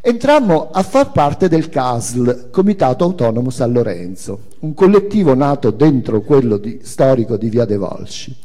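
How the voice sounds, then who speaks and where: An older man reading a memoir theatrically, in measured phrases with dramatic pauses and emphasis. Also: mid-range voice, very animated, mellow. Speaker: author and performer reading own memoir on stage. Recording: hall reverb of a live reading.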